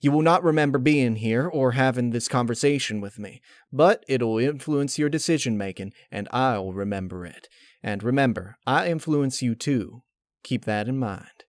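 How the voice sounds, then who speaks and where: A man voicing a solemn, candid pony spirit in a story reading, giving firm, measured, grave warnings. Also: mid-range voice, no echo, close to an everyday voice.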